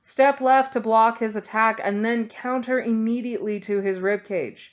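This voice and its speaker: A woman's voice: fairly high pitch, fairly even intonation, normal speed, pitched above the performer's own voice, lightly acted. Woman playing a young male thief, sardonic, defiant, needling, slightly raised storybook voice. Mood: coaching. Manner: dry, needling.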